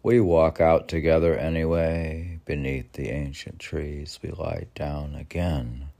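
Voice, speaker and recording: An older man reading poetry informally, with halting, musing asides in which he asks and answers himself. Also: very deep voice, steady tone, creaky, mellow. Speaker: poetry reader and podcast host.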